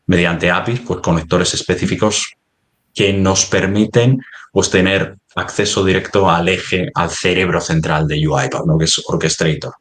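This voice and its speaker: A man with a Spanish accent from Spain presenting in a semi-formal webinar discussion, haltingly searching for his words. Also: deep voice, fairly even intonation, fairly bright tone.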